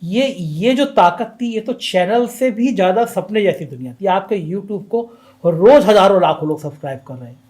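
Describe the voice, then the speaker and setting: A man with a notably high voice conducts the interview. He asks his questions eagerly and keeps probing for more. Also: expressive, normal speed, a little mellow.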